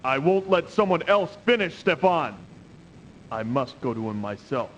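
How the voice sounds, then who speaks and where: An actor playing a proud, stern emperor at war in a declamatory video game cutscene style. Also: male voice, sing-song, unhurried, a bit bright. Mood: grave, resolute. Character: proud commanding emperor at war with his brother. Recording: noisy 1990s CD game audio, rumble.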